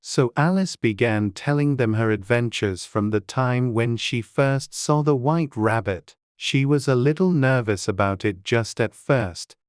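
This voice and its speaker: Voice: mid-range voice, measured pace, few pauses, clean tone, no room sound. A storybook narrator reads from a script in a mid-range voice. The recording is close and dry, with no room sound. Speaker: audiobook narrator.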